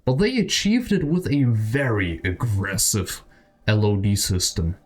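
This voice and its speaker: A man's voice: lowish voice, expressive, a little slow. A man hosting a video essay about games, giving opinionated, speculative commentary in a conversational way. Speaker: video creator, channel host. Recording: music behind the voice. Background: background music.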